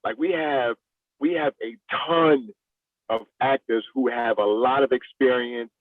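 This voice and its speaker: A man being interviewed as a play director, answering in a halting way, repeating words as he searches for the next one. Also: mid-range voice. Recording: roomy, thin radio-like lo-fi sound.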